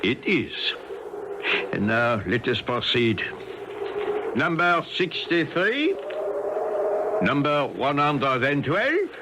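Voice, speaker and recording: An actor playing a stern, formal French village leader in a 1970s radio drama, speaking deliberately. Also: male voice, mid-range voice, sing-song. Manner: formal, deliberate wording without contractions. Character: solemn French village leader, stern but sorrowful judge. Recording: noisy old radio broadcast recording.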